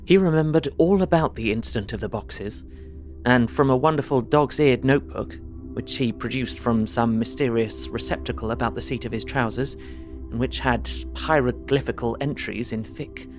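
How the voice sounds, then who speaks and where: An actor with a British accent playing an earnest Victorian gentleman, narrating his journal formally and precisely in an audio drama. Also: medium pitch, moderate pace, music in the background, close to an everyday voice. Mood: methodical, intent.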